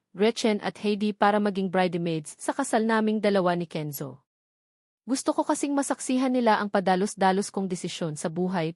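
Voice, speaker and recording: A woman's voice: mid-range voice, a little mellow, no room sound. Filipino woman narrating a novel, dry close sound. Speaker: audiobook narrator. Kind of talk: scripted first-person novel narration.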